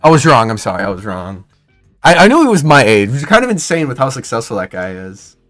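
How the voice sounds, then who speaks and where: A man in his twenties streaming casual commentary, rambling and excitable with many restarts and fillers. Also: medium pitch, fast, soft background music. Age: 20s.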